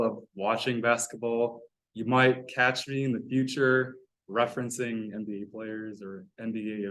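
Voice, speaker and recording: A young American man in his twenties speaks with a middle-pitched voice. He delivers a prepared ice-breaker speech earnestly and steadily, with a sincere tone and an occasional filler. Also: rough recording. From United States. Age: 20s. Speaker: club member giving a speech.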